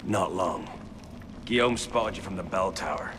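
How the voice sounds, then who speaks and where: Male assassin guide: low, terse, scornful game character, long echo around him. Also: a little slow. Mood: irritated. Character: gruff, proud, prickly male assassin guide. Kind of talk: dramatic video game acting. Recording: long reverb, clear background noise.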